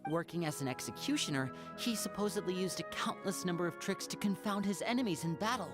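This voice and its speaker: A voice actor playing a curious young performer who muses in a reflective way, in a video game. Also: female voice, on the low side, animated, fast, over music, lightly acted. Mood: musing.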